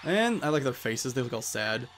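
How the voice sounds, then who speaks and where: A man's voice: medium pitch, big pitch swings, moderate pace, fairly bright tone, a little hiss or hum. A man giving chatty, rambling, casual commentary as he plays a video game. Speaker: let's player. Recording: music behind the voice. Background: game music.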